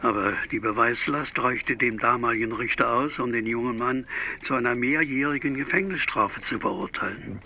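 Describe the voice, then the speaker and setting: An actor playing an older retired police inspector in an audio drama, hearty, measured and gently teasing, heard over a telephone speaker. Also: male voice, medium pitch, slight background noise. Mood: matter-of-fact recounting. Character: retired police inspector, older man, dry and good-humoured. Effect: speaking over a telephone loudspeaker. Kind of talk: German audio drama acting.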